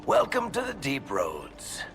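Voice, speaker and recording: A man's voice: mid-range voice, wildly swooping pitch, slow, music in the background, heavily acted. A man playing a wry dwarf companion in a video game, telling stories with dry sarcasm. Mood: wry, teasing. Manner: dry, sardonic storytelling.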